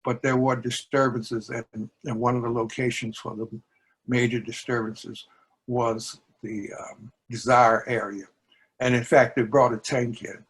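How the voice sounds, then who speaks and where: A man, speaking in a measured, deliberate way with pauses, discussing and reading poetry. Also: mid-range voice, level tone, a bit bright, breathy edge, low-quality recording. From New Orleans, United States. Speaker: book editor and poetry reader.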